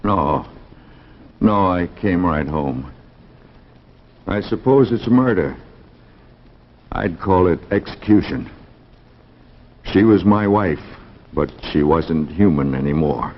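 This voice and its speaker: An actor playing a grim, grave husband in a 1950s television drama, speaking with deliberate seriousness. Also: male voice, deep voice, lively intonation, full character voice. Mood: grim resolve. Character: grim husband confessing he killed his inhuman wife. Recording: muffled 1950s TV recording.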